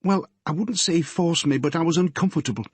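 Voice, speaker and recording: Audiobook figure: male narrator voicing a scared teenage girl, pitched high, breathless, husky. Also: a little fast, dry recording. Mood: anxious. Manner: shaky, pleading, breathless. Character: frightened tearful teenage girl, a policeman's daughter.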